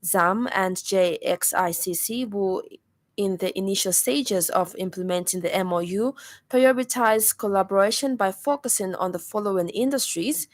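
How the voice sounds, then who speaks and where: A woman's voice: mid-range voice, moderate pace, no echo. Female Zambian news reader for radio bulletin, formal scripted delivery, mid-range voice, dry studio sound.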